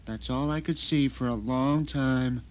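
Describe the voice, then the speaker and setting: A man performing a bitter, vindictive captive who narrates his own ordeal in a melodramatic, sardonic audio drama monologue with deliberate phrasing. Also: mid-range voice, close to an everyday voice. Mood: baffled, angry.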